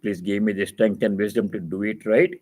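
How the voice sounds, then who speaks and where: Man giving a measured spiritual discourse. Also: lowish voice, steady tone, mellow. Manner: didactic, repeats phrases for emphasis. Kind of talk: spiritual discourse, reading and explaining scripture.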